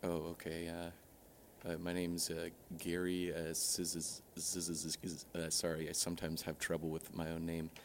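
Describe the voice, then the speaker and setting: A man being interviewed in a comedic mock interview, talking in a halting, deadpan way full of hesitations. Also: sits low, a little hiss or hum. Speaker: interview guest.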